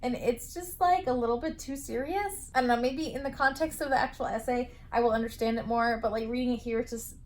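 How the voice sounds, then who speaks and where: Chatty young woman, a bit high. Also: brisk, few pauses. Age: 20s. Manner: chatty, lots of "like" fillers. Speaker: knitting book vlogger. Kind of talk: casual reading vlog. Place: bedroom, sitting up in bed.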